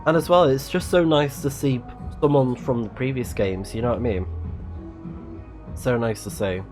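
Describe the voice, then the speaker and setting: A man's voice: medium pitch, moderate pace, music in the background. A man casually commentating on a video game as a live-streaming host, rambling in chatty asides, his nose a little blocked up. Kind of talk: casual game commentary, reading in-game messages aloud.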